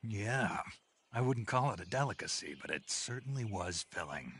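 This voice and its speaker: An actor playing a gruff, terse, stoic soldier in a video game, deadpan and gravelly. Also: male voice, fairly low pitch, normal speed, background noise, close to an everyday voice. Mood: casual, satisfied.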